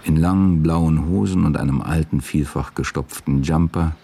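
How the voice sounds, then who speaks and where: A male narrator reads literary prose for a radio drama. His voice is extremely deep, with a creaky edge and a husky grain. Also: normal speed, mellow.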